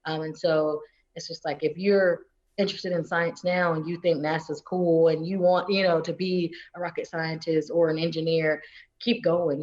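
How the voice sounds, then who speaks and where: A chatty woman from North Carolina with a soft Southern accent, talking through an interview in a fairly low voice. She keeps the conversation loose with "you know" and "like" and breaks into laughs. The audio sounds a little muffled and lo-fi. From coastal North Carolina. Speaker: guest, space agency public affairs officer. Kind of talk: casual interview chat.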